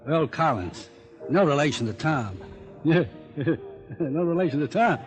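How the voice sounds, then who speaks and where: A surly, wisecracking bartender. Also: male voice, a little high, animated, a little slow. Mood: grudging. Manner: gruff, dismissive. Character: surly, wisecracking tough New York bartender. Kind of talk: 1940s radio crime drama acting. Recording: old radio recording with loud music.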